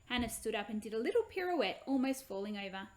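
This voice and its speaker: A woman's voice: a little high, very animated, fast, darkish tone. A woman storyteller giving a dramatic reading of a children's book.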